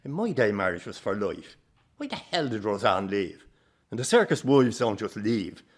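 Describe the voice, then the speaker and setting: A man's voice: lowish voice, very animated, moderate pace. An actor playing a bitter, stubborn old Irish circus father, grumbling bluntly in a radio drama.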